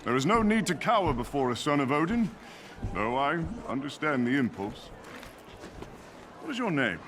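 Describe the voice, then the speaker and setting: A man's voice: mid-range voice, big pitch swings, moderate pace, fairly bright tone, slight background noise. A man voicing a pompous, good-humoured Norse god warrior in a video game, theatrical and archaic in phrasing. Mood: jovial, haughty.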